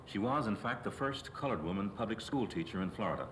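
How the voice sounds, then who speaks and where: A man's voice: lowish voice, lively intonation, quick pace, lightly acted. A man reading the part of a reflective author recalling his childhood in a scripted documentary memoir. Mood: reflective recollection. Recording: loud background noise.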